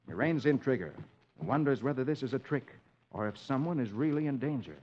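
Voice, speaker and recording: Animated male vintage radio storyteller. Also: medium pitch, a little fast, slight background noise. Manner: dramatic storyteller. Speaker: host and narrator reading comic strips to a child. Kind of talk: 1950s children's radio narration. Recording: muffled old radio recording, music behind.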